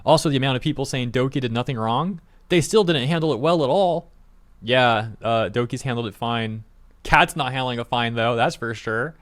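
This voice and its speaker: A man's voice: medium pitch, normal speed, no room sound. A young adult man co-hosting a reaction show, reading comments aloud in a blunt, exasperated, mildly sarcastic way. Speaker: co-host reacting to online drama.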